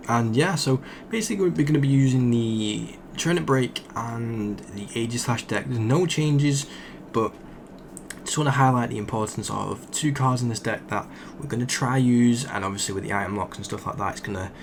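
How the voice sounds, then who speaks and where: A young man, croaky from just waking up, rambling casually as he commentates a card game he is playing. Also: lowish voice, noisy background. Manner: rambling, thinks aloud with fillers. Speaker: gaming channel host.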